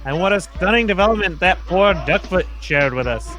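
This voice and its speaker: Man putting on a theatrical radio announcer, very animated. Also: high voice, moderate pace, music in the background, voice pushed up, poor audio. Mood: mock solemn. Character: grand old-time radio intermission announcer, earnest and theatrical. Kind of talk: 1940s radio acting.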